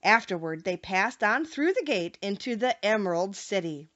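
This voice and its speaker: Animated woman narrating a storybook. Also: medium pitch, moderate pace, muffled recording. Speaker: audiobook narrator.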